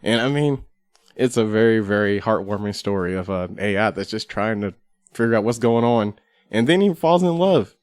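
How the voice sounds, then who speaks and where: Lowish-voiced man, dry close sound. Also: lively intonation, normal speed, darkish tone, dry recording. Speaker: podcast guest. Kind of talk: casual chat.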